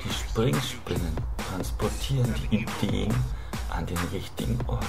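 A man's voice: lowish voice, measured pace, vocal fry. A man narrating a scripted, dramatic monologue and story as a storyteller. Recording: loud music over the voice.

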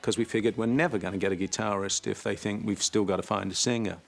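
A man telling the story of his band in a documentary interview, in a measured way. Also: on the low side, fairly even intonation, vocal fry, faint music behind. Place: dark studio set.

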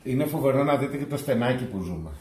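A man's voice: medium pitch, lively intonation, a little mellow, distant mic. A man in his thirties giving a casual house tour, explaining things to viewers. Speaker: father in a family travel vlog. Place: small stone-walled holiday apartment. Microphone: camera mic from a few steps away. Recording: roomy echo, off-mic, faint noise.

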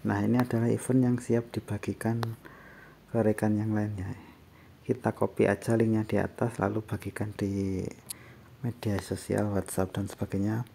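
A man gives a casual step-by-step software tutorial, explaining in a halting way. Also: on the low side, flat delivery, gravelly. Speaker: tutorial presenter. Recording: faint background noise.

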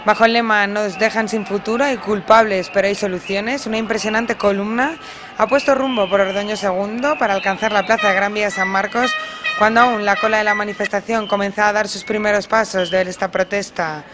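A woman's voice: medium pitch, steady tone, a little fast, noisy background. A woman reporter reading a scripted news voice-over in a formal, emphatic way.

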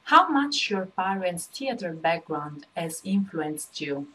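A woman's voice: lowish voice, very animated, takes it slowly, bright, forceful tone, rough recording. A young woman presenting a scripted interview as the host. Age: young adult. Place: indoors against a plain wall. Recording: noticeable background noise and ambience.

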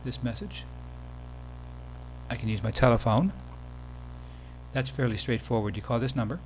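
Low-key man narrating, faint hum behind. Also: normal speed, darkish tone, low-quality recording. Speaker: software demo presenter. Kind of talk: casual screencast tutorial narration. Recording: background hum and noise.

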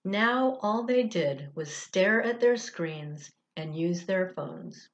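A woman reading a children's story aloud in an expressive, deliberate storytelling manner. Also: medium pitch, lo-fi sound. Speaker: director of religious education, storyteller.

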